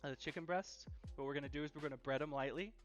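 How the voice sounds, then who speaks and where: A man's voice: on the high side, very fast, soft background music. A man hosting a casual live cooking stream from his home kitchen, chatting in an easygoing way and repeating phrases for emphasis. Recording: dull, lo-fi livestream audio.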